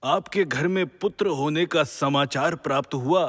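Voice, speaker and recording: A man's voice: fairly high pitch, moderate pace, close to an everyday voice. A man playing a benevolent, solemn ascetic sage who gives blessings in a formal mythological audio drama. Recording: long hall reverb.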